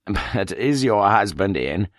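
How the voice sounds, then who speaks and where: A man's voice: lowish voice, animated, slow, no echo, clean studio sound. A man voicing a dryly ironic, irritable middle-aged chief inspector in an audiobook. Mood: curt, dry.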